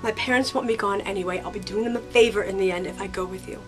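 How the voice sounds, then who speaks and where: A woman's voice: mid-range voice, fast, music in the background, natural voice. A woman playing a heartfelt sixteen-year-old girl in an audio drama, emotional and sincere. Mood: resolute.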